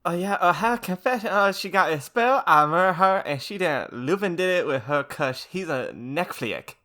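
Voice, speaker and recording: A woman voicing a lively, crude teenage goth girl in a comic story reading, exaggerated and slangy. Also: fairly low pitch, moderate pace. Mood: gleefully crude.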